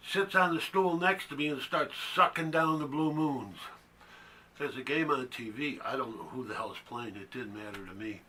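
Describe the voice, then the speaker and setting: Bitter, sharp-voiced man acted out by a man. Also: medium pitch. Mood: disgusted. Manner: gritty, sardonic, terse. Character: bitter, foul-mouthed loner telling a barroom tale. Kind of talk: dramatic monologue from a one-act play. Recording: faint background noise.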